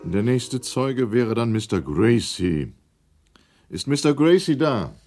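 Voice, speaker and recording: A man's voice: medium pitch, very animated, slow pace, a little mellow. A man playing a formal, courteous committee chairman who questions witnesses in a documentary radio drama.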